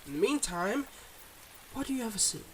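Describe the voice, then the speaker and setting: Male actor as suave mob boss boyfriend, fairly high voice, confiding and teasing, intimate ASMR roleplay, rain behind. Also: sing-song, brisk, a little hiss or hum, rough recording. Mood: charming, relaxed. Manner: smooth, confiding, teasing, speaking to a listener.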